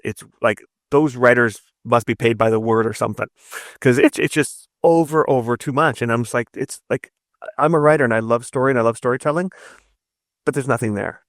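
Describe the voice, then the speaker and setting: Male interview guest, mid-pitched, emphatic explainer who tags points with "right?", recorded dry. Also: fairly bright tone, dry recording. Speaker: guest narrative designer and game writer. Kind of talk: conversational interview advice.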